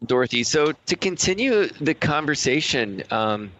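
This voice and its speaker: A man's voice: a little high, very animated, normal speed, a bit bright, no echo, muffled recording. A man hosting a radio show in a conversational style.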